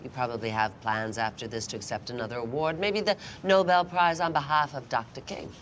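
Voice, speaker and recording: A woman's voice: a bass voice, fairly even intonation, fairly quick, slight background noise. A middle-aged woman playing an abrasive, sarcastic, domineering film actress in a period TV drama, hard-voiced, blunt and commanding. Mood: sarcastic, biting. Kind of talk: television period drama acting.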